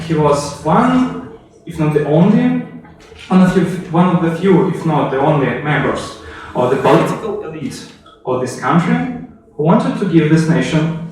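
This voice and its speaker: A man giving a storytelling speech as a public speaker, narrating history dramatically in the second person and putting rhetorical questions to his listeners. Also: on the high side. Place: echoey indoor room, recorded from a distance. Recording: very echoey room, distant microphone.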